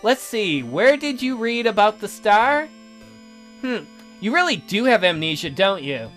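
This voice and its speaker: A man acts out a resentful young prisoner stuck in a boy's body. The voice is pitched high and swings widely as it accuses and complains in a theatrical, sarcastic way, with game music behind it. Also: big pitch swings, measured pace, a bit bright, full character voice. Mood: accusing. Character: bitter imprisoned youth stuck in a child's body, sarcastic, resentful. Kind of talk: visual novel character read-aloud.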